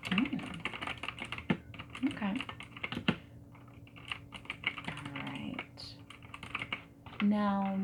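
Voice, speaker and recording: A woman playing a friendly dating service interviewer in a soft-spoken ASMR roleplay, breathy and often slipping into a whisper, gentle and reassuring. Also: fairly high pitch, exaggerated voice. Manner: gentle, unhurried, reassuring, asking questions. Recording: background noise with keyboard typing and clicks.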